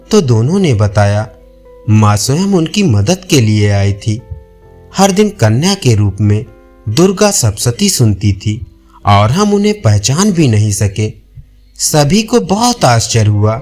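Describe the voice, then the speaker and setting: A man's voice: mid-range voice, a little mellow. A man narrating a scripted audio folk tale as a storyteller.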